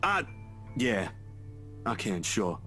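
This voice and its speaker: A deferential young male photographer from a visual novel. His delivery is hesitant, apologetic and stammering, with lively swings in pitch, over faint music. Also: slow, exaggerated voice. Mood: nervous, sheepish.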